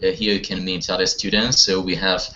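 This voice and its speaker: A man teaching an English class online, asking students questions and gently correcting their grammar. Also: sits low, level tone, fairly quick. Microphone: video call. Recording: lo-fi online call audio.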